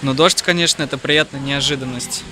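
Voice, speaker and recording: Молодой мужчина снимает поездку и с юмором комментирует происходящее за кадром. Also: male voice, medium pitch, steady tone, a little fast. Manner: wry, joking remarks to camera. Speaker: travel vlogger filming the trip. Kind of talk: casual handheld travel commentary. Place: on a moving tour bus and rainy city streets. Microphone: handheld camera mic. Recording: noisy handheld camera audio. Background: loud traffic and bus noise.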